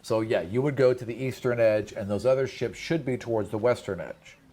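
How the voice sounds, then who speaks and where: A man's voice: mid-range voice, fairly quick, dark tone. A man acting as game master, narrating and explaining in a conversational way, often repeating and rephrasing as he goes. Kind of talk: improvised tabletop game narration and table talk.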